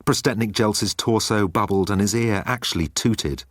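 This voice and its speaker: A man's voice: fairly low pitch, moderate pace, dry recording, studio quality. A man narrating comic science fiction as a scripted, dramatic audiobook.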